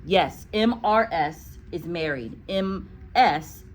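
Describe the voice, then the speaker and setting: A woman teacher who reads a children's story aloud and chats casually about it. Her pitch is very lively, and there is faint noise in the background. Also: slow pace. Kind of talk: storybook narration with casual classroom chat.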